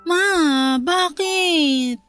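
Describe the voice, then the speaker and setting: A woman's voice: very high-pitched, drawn-out words, a bit bright, exaggerated voice. A woman playing a defensive, envious grown child in a Filipino radio-style drama, with a plaintive, questioning delivery. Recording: music bed under the voice.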